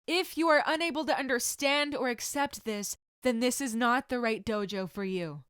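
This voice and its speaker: A woman's voice: fairly high pitch, normal speed, fairly bright tone, smooth, dry recording, clean studio sound, voice pushed up, close to an everyday voice. A woman voicing a strict, regal martial arts instructor, speaking in a formal, commanding way. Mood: stern, formal.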